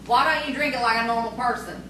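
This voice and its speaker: A woman in an audio theatre play as a sociable, sharp-tongued tavern keeper with a folksy, teasing, scolding manner. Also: fairly high pitch, quick pace, close to an everyday voice. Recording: very echoey, distant, noisy stage recording.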